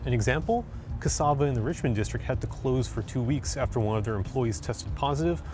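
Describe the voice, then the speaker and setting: A man's voice: medium pitch, brisk. A young man from San Francisco in his twenties, hosting a scripted explainer video outdoors and talking straight to camera in an upbeat, conversational way. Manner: upbeat, conversational, direct address. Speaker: video host. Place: outdoors on city streets. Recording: music bed underneath.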